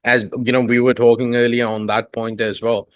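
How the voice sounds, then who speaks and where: A man's voice: mid-range voice, level tone, normal speed, clean tone, no room sound. A man whose first language is Urdu, hosting a podcast and asking long, rambling questions full of fillers. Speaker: podcast host. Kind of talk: podcast interview.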